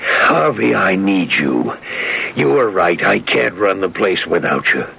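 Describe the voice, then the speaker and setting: A man acting in a radio drama as a desperate, broken-down corporate boss, pleading and halting. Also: low voice, sing-song, gravelly, pushed and bright, slight background noise, full character voice. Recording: dull lo-fi radio recording.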